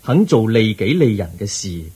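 The Buddha, husky and calm. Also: male voice, on the low side, moderate pace. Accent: Hong Kong Cantonese. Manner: gentle, patient counseling. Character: the Buddha, calm wise male teacher. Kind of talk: radio drama acting.

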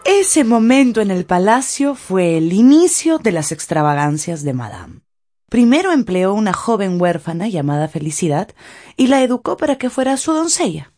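A woman narrator telling a scripted story for a radio drama in an expressive, theatrical way. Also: mid-range voice, moderate pace. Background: faint music.

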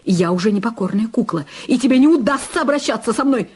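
Defiant screen diva, hot-tempered, very husky breathy voice, dark mellow tone, audio drama. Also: female voice, on the high side, lively intonation, fast. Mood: cold warning. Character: hot-tempered, defiant film star being blackmailed.

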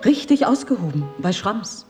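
A woman's voice: medium pitch, moderate pace, over music, natural voice. A woman playing a gossipy, genteel countess. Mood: excited prying. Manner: polite, animated, gossipy. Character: genteel, chatty, inquisitive countess in a prison cell. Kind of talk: German radio play acting.

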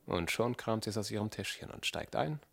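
Young cynical charmer in a radio drama, brooding, deep with lots of creak. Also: male voice, lively intonation, brisk, fairly bright tone, full character voice. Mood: calculating. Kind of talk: German radio play monologue.

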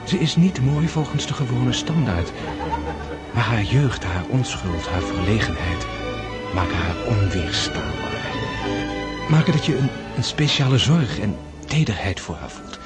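An actor playing a courtly, world-weary prince in love, speaking formally and tenderly in a period radio drama. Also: male voice, fairly low pitch, very animated, measured pace. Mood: tender, musing. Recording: radio play with loud music behind. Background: loud music.

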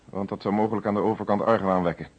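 A man's voice: lowish voice, steady tone, rapid-fire, highs cut off, lightly acted. An actor playing a businesslike, dryly wry male police inspector in a radio play, speaking Netherlandic Dutch in a commanding way. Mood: businesslike, courteous. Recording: noisy old radio play recording.

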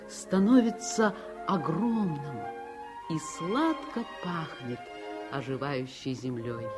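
An actress playing a tender storyteller recalling childhood, with measured, lyrical literary narration in a Soviet radio play. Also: female voice, lowish voice. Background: music.

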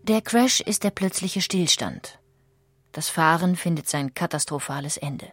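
A woman reading scripted documentary narration in a measured, factual way. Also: lowish voice, fairly bright tone, husky, no room sound. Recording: music behind the voice. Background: music.

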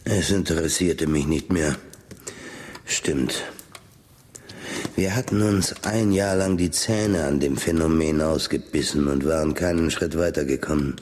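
An actor playing a cold, calculating businessman who narrates a radio drama in a dry, matter-of-fact, sardonic way. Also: a bass voice. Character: cold, calculating businessman recounting his scheme. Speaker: narrator and lead character.

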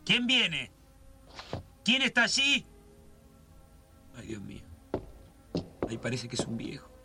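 A feverish, paranoid man in a radio melodrama, his voice very sharp and strained. He drags out his anguish in halting phrases that swing widely in pitch. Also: huge pitch swings, creaky, exaggerated voice. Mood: frightened, suspicious. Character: paranoid, tormented man, feverish and easily terrified. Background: music.

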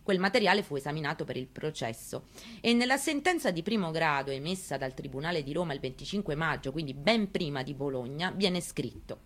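A fairly low female voice of an actress playing an elderly mother who has lost her son. She delivers a theatrical monologue, intimate and deliberate, grieving yet resolute. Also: a bit bright. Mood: bitter, measured. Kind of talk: dramatic monologue, theatrical reading.

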